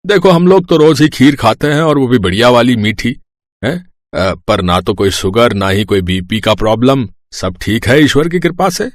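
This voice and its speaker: A man's voice: mid-range voice, expressive, moderate pace, no room sound, close to an everyday voice. A man playing a genial older father-in-law in a moral story. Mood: jovial, boastful. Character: jovial older father-in-law who loves sweets.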